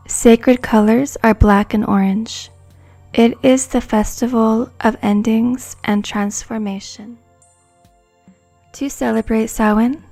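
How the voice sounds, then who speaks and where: A woman giving a soft-spoken, hushed and soothing ASMR narration from a script. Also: a little high, creaky. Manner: hushed, slow, soothing delivery.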